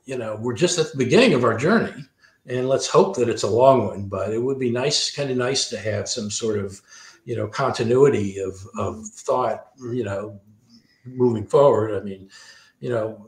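A man speaking as an interview guest and author, thoughtful and discursive, often rephrasing himself as he goes. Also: medium pitch. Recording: roomy, echoing sound.

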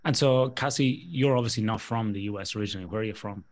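Man, Irish accent, lowish voice, podcast host, casual chat, teasing humour. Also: quick pace. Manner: joking, teasing.

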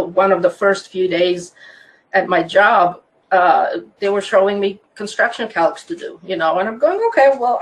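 A Croatian-accented woman in her fifties tells her story as a guest. She is chatty and warm-hearted in her telling, laughing often and doubling back on her words. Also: medium pitch, poor audio. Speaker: guest, land surveyor. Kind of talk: casual interview storytelling.

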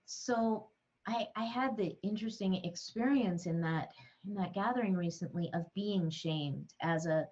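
A woman's voice: medium pitch, measured pace, darkish tone, muffled recording. A middle-aged woman, a podcast guest, telling her story in an earnest, frank way. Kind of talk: candid interview talk.

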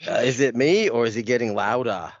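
A man's voice: mid-range voice, fairly quick, no room sound, lo-fi sound, natural voice. Man playing an anxious, stammering fellow, dry sound. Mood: alarmed. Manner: hesitant, stammering. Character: anxious, flustered man in wrestling comedy. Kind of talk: comedic audio play acting.

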